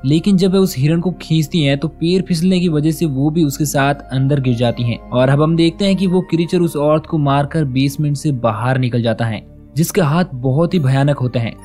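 A man narrating a film's plot as a recap, telling the story in a steady voice-over. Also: fairly high pitch, normal speed. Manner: steady storytelling, even pace. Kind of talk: scripted narration of a film plot. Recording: voice-over with faint background music.

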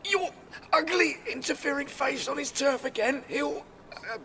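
Very high-pitched, cowed street youth. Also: unhurried, a crowd in the background. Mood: nervous. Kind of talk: BBC radio drama acting.

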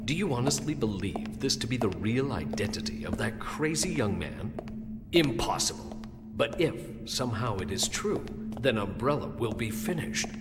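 Man acting a proud obsessive male scientist, indignant, lively swings in pitch, reverberant, game cutscene. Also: moderate pace, close to an everyday voice. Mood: disbelief. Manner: indignant, emphatic. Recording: long reverb, music behind.

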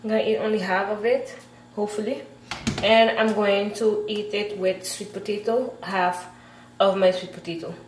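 A woman of 24 from Aruba chatting casually to camera in a halting way as she vlogs at home. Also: mid-range voice, fairly even intonation. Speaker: vlogger. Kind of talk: casual vlog chat to camera. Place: indoors at home, hard-walled kitchen and rooms. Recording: echoey room, some background noise.

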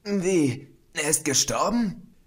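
A man's voice: a little high, lively intonation, slow, pitched above the performer's own voice. A man voicing a brash, cocky young female pegasus pony in an audiobook reading.

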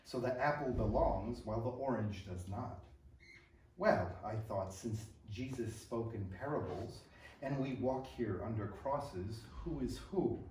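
A middle-aged American man stands in a library hall and reads poems in a measured way after a halting, filler-laden introduction. The mic sits some way off, so his voice carries a roomy echo. A faint room hum lies underneath. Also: medium pitch, a little mellow. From upstate New York, USA. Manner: hesitant, filler-heavy remarks, then measured reading. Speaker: poet and translator. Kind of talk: poetry reading. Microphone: room mic from a distance. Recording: echoey room, distant mic.

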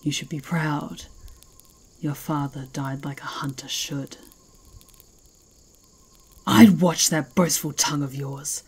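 A woman playing a fierce, mocking werewolf chieftain in an ASMR roleplay, speaking in a slow, taunting, imperious way. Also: low-pitched, steady tone, a little mellow, husky, heavily acted. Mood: stern, proud.

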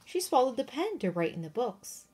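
A woman reading a children's picture book aloud in an expressive, sing-song, emphatic way, with chatty asides to young listeners. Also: a little high, moderate pace, breathy edge. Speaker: read-aloud storyteller.

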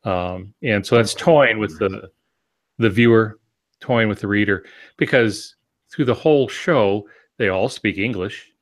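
A man in his sixties explaining things conversationally as a podcast co-host, in a measured, halting way with pauses mid-phrase. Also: on the low side. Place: home office, indoors. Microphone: video call with earphones.

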